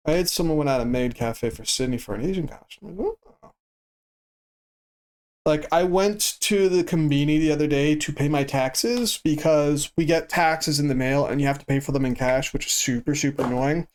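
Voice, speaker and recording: Monotone, stoic man streamer. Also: fairly high pitch, moderate pace. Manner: swears freely. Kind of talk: casual chat while gaming.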